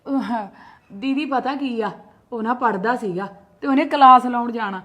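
A woman's voice: on the high side, sharp tone. A middle-aged woman, acting a defensive mother who argues back and scolds her sister-in-law in a family skit. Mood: reasoning. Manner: argumentative, quick retorts, scolding.

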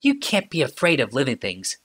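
A man voicing a sardonic female inventor in an audiobook, calm and mocking in tone. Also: mid-range voice, brisk, voice pushed up. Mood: musing. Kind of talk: audiobook character reading.

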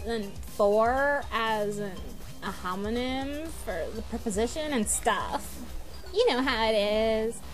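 Woman acting a hyped, high-voiced gamer troll girl. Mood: excited, cheerful. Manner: exuberant surfer-style slang, drawn-out exclamations. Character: hyped young female troll gamer girl, slangy and brash. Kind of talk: amateur fandub voice acting. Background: music.

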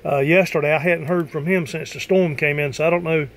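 A man's voice: on the high side, steady tone, brisk. A man talking casually as an amateur radio operator, asking and answering in conversational ham radio style.

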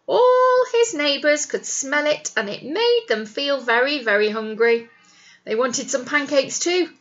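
Animated, high-voiced woman reading to children. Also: lo-fi sound. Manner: expressive, coaxing with questions to listeners. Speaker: teacher reading a picture book. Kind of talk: animated storytime read-aloud for young children. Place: small classroom, indoors.

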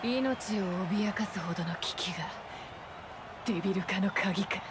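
A woman's voice: mid-range voice, measured pace, very noisy, close to an everyday voice. An actress playing a cool, menacing young female fighter in a video game, polite but cold and amused. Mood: coldly amused. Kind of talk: video game cutscene acting. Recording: loud music behind.

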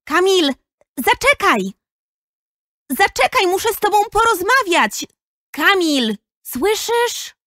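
A woman's voice: very high voice, big pitch swings, takes it slowly, pauses often, pushed and bright, dry recording, full character voice. A female performer playing an earnest, caring, persistent schoolgirl in a children's audio drama. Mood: urgent.

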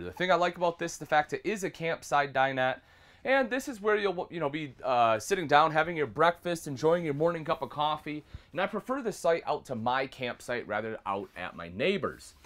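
A man's voice: a little high, very animated, brisk, fairly bright tone. A man in his thirties, an RV product specialist, giving an upbeat walkthrough tour inside a small travel trailer and calling viewers folks.

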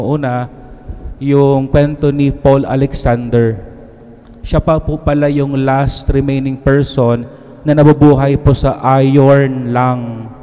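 A middle-aged priest with a Filipino accent, giving a conversational homily at a lectern microphone and mixing Tagalog with English. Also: male voice, medium pitch, warm tone. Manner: switches between Tagalog and English, conversational preaching. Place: church sanctuary, at a lectern. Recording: long church reverb.

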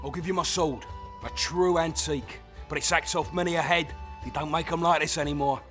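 A hard-drinking, boastful soldier voiced by a man, in a fantasy game. His speech is rough and colloquial, pitched a little high, over faint music. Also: fairly even intonation, a little fast, fairly bright tone, natural voice. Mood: boastful, nostalgic. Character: bragging, hard-drinking veteran male soldier. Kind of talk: video game voice acting.

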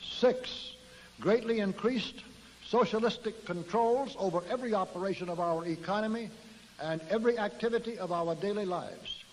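Older guy giving a formal podium speech, kind of high voice, old archival tape in a big echoey hall with faint hiss. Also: darkish tone. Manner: measured oratory, enumerating points. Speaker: speaker addressing an audience.

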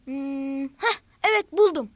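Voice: high voice, animated, takes it slowly, no echo, heavily acted. A performer voicing a kind schoolboy older brother in a children's cartoon, patiently explaining and encouraging.

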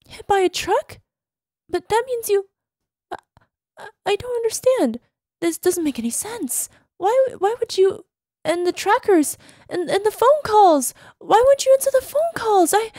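A very high, dry, close female voice, put on by an actress as an obsessive yandere girlfriend. She is sweet but unstable, speaking dramatically in an intimate ASMR roleplay. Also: a little mellow, no room sound, full character voice. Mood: panicked worry. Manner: dramatic, drops into breathy whispers, cloying pet names.

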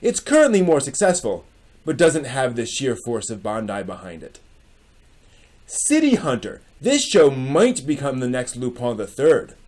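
A man in his forties presents in an emphatic, slightly theatrical way. His medium-pitched voice moves up and down a lot as he stresses key words. He sounds like a host doing a dramatic reading with casual commentary in a room at home. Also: huge pitch swings, a little slow, darkish tone. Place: small furnished room at home with bookshelves.

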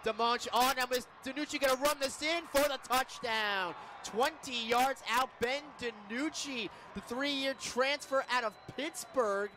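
High-pitched American football play-by-play man. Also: measured pace, hardly any pauses, sharp tone. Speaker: play-by-play sports announcer. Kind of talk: live American football radio commentary. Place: football stadium broadcast booth.

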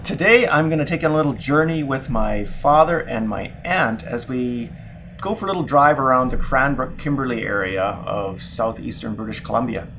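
A man's voice: medium pitch, normal speed, a bit bright, a little hiss or hum. A middle-aged man, chatting casually as the host of a travel vlog. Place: living room, inside a moving car, and outdoors by roads and shops.

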